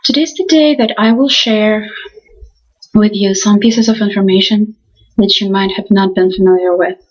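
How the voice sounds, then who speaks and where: Earnest young woman, halting restarts. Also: medium pitch, steady tone, lo-fi sound. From Ukraine. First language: Russian. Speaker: college student. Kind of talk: reading a class presentation.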